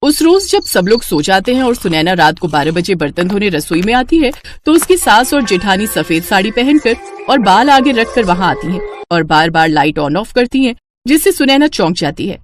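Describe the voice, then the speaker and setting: A woman's voice: mid-range voice, very animated, moderate pace, hardly any pauses, soft background music. A woman giving scripted storytelling narration.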